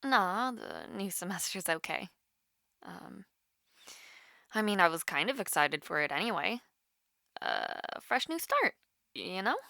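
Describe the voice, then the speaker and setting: Actress doing a nervous college girl on a casual call w her crush, bright voice, bit of fry, rambly n awkward. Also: on the low side, sing-song, measured pace, long pauses, dry recording, studio quality, heavily acted. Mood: shy, casual. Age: young adult. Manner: awkward, rambling, hesitant with many fillers. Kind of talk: naturalistic romantic audio roleplay, casual one-sided call.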